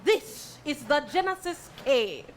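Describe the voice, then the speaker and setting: A woman's voice: high-pitched, sing-song, slow, a little hiss or hum, full character voice. A woman acting a wry, earnest science-fiction heroine in a parody stage musical. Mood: wry, explanatory. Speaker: musical theatre performer in a staged reading.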